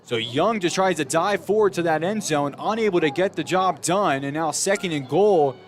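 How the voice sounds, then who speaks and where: High-pitched young American man calling football. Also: lively intonation, normal speed. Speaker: American football play-by-play announcer. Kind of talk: live sports play-by-play commentary.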